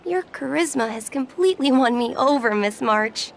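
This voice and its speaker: An actress playing a polite, gushing, admiring young townswoman in an anime-style video game, flattering and formal in her wording. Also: sits high, moderate pace, creaky, background noise.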